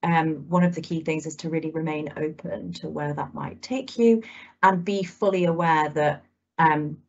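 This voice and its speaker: A woman's voice: low voice, normal speed, slight background noise. Chatty woman in her thirties on a call. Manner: chatty, many "kind of" fillers. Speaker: guest speaker, alumna. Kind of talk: talk to students. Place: indoors at home. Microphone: laptop video call. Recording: muffled video call audio.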